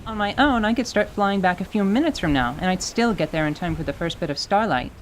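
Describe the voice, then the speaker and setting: A woman playing a cheeky, stubborn young tiefling girl in radio theater, with a playful, sassy deadpan manner. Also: medium pitch, fast, a little hiss or hum, natural voice, poor audio. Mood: defiant. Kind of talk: dramatized radio theater acting.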